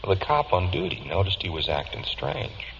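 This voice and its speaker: A hard-boiled morgue man speaks in a very deep, gravelly voice. His delivery is sardonic, blunt and colloquial, in old radio drama style, with a long ringing reverb around it. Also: very animated, moderate pace, fairly bright tone, heavily acted. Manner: sardonic, matter-of-fact, colloquial. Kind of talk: vintage radio drama acting, clipped report. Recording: dull, hissy recording in echoing hall.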